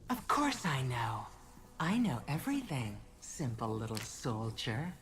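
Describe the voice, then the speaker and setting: A woman voicing a pawn possessed by an all-knowing evil emperor, slow, condescending and menacing, in a game cutscene. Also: rumbling low, sing-song, soft background music, exaggerated voice. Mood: contemptuous. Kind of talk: video game cutscene acting.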